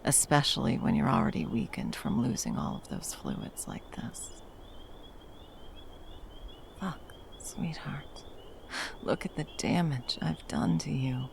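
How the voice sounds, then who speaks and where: A woman's voice: extremely low pitch, huge pitch swings, slow, vocal fry, pauses often, full character voice. A woman playing a battle-hardened monster hunter, violent yet a tender protective lover, in an intimate ASMR roleplay. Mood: soothing, worried, then guilty, tender. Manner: intimate, sweary, teasing and soothing endearments. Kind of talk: ASMR roleplay, intimate scripted monologue addressed to the listener.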